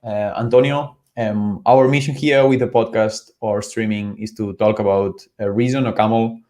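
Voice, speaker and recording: A man's voice: on the low side, fairly even intonation, moderate pace, a little mellow. Casual man host, filler-heavy questions. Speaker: podcast host. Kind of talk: casual interview chat.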